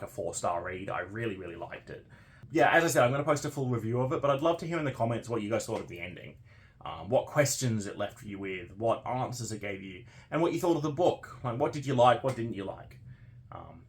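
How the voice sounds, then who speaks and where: Man casually discussing a book to viewers. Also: medium pitch. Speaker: book vlogger hosting a read-along.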